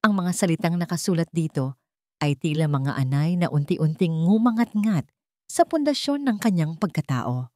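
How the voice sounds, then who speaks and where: A woman with a Filipino accent narrates a scripted story in a measured, dramatic way, in short phrases. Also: low voice, animated, dark tone, dry recording, clean studio sound.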